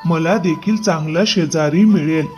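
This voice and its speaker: A man voicing an agreeable, trusting young farmer talking to his friend in an animated story. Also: fairly high pitch, measured pace, soft background music, natural voice. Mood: friendly. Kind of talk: animated moral story dialogue.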